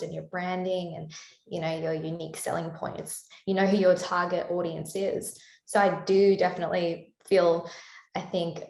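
A woman with a Canadian-sounding accent talks easily through an interview, her voice sitting on the low side with a gravelly, fry-edged texture. She laughs lightly between thoughts. The recording carries a noticeable roomy echo. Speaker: singer-songwriter guest. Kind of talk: casual interview chat.

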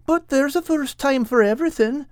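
Casting: male reader as reluctant, folksy animal sidekick, raised pitch, plaintive and stubborn, children's story. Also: moderate pace, no room sound, clean studio sound. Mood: nervous, reluctant.

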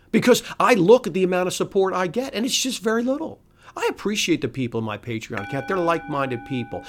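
A middle-aged man hosting a podcast, delivering a solo commentary full of sarcastic rhetorical questions and scoffing laughs. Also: on the high side. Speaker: podcast host. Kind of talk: solo political commentary monologue.

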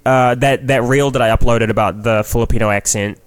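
A man's voice: mid-range voice, fairly even intonation, brisk, clean tone, no echo. A man from New Zealand with a New Zealand accent, a guest comedian talking frankly and at length on a casual podcast, swearing freely and restarting his sentences.

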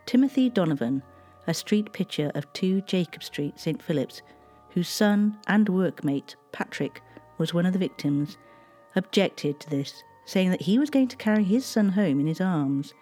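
A mellow, warm-toned narrator reading a historical account in a measured, solemn way. The scripted narration moves evenly at a middle pitch, with faint music underneath. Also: expressive. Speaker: documentary narrator.

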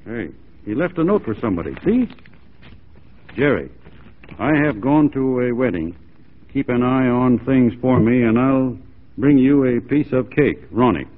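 A man's voice: medium pitch, expressive. Wry easygoing frontiersman, tinny radio. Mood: quietly protective. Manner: dry, folksy, unhurried irony. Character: easygoing, wry, loyal civilian frontier adventurer. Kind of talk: 1940s radio acting. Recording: tinny, faintly noisy 1940s radio recording.

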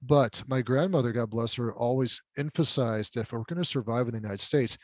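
A man's voice: mid-range voice, brisk, no echo. A middle-aged man whose first language is Spanish, telling his life story as a podcast guest in a reflective, self-deprecating way. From California border town, Mexican side. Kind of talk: conversational storytelling interview.

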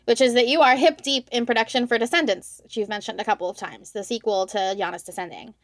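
A woman's voice: a little high, fast, dry recording. A woman interviewing a guest in casual, bantering chat as the podcast's host. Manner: playful, bantering.

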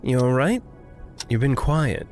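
Audio drama role: gentle, wry young man, halting and playful, animated intonation, unhurried. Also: mid-range voice, soft background music. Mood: gentle concern. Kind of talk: naturalistic audio drama acting.

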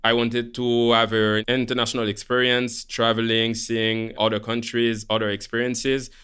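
A man's voice: mid-range voice, flat delivery, normal speed, fairly bright tone, clear, resonant tone. A man from Haiti with a Haitian French accent, a native speaker of Creole and French, explaining things conversationally as a podcast co-host. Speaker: podcast co-host, portfolio manager. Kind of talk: conversational interview, explaining career.

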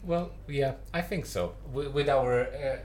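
A man co-hosting a podcast in a studio, chatting casually with chuckles and halting fillers. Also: medium pitch, darkish tone. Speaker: podcast co-host. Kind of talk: casual chat.

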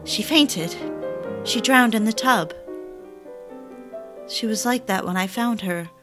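A woman's voice: mid-range voice, fairly bright tone. A woman playing a needy, defiant, newly married daughter in an audio drama, theatrical and pleading. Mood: haunted, brooding. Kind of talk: dramatic audio fiction with first-person narration.

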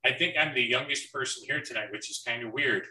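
A man's voice: mid-range voice, fast talker, sharp tone. A man in his early thirties chatting casually as a podcast co-host during a wrestling watch-along. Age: early 30s. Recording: echoey room, distant from mic.

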